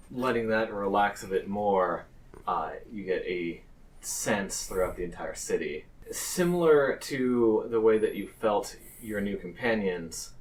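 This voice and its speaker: A man improvising narration as game master of a tabletop game, pausing hesitantly mid-phrase. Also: deep voice, far from the mic.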